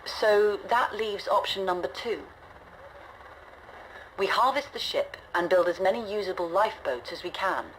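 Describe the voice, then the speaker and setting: An actress playing a weary, resolute woman leading a stranded generation ship, recording game audio logs in a measured, grave, reflective manner. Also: medium pitch, a bit bright, slight background noise, lightly acted, poor audio. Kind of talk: scripted audio log narration, dramatic game acting.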